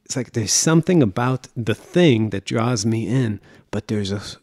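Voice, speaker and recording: A man's voice: medium pitch, expressive, normal speed, a little mellow, dry recording, clean studio sound. A man co-hosting a music podcast in casual chat, rambling and opinionated with crude language.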